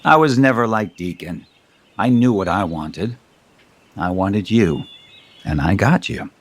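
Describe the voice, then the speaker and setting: An actor playing a cocky, fond older husband in an audio soap opera, smooth-talking and teasing. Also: male voice, lowish voice, expressive, measured pace.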